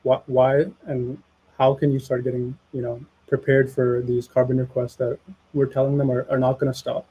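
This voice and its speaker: A man's voice: mid-range voice, little pitch movement, normal speed, husky, muffled recording. A man hosting a casual webinar conversation, agreeable and supportive, with frequent yeahs and a few stammered restarts. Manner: agreeable, frequent "yeah" backchannels, some stammering. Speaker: host.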